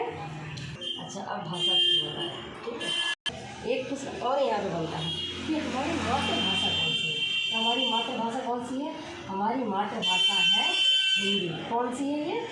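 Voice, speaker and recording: A woman teaching a grammar lesson, asking questions and answering them herself, repeating the key points. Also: on the high side. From India. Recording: echoey room, far from the mic, steady noise.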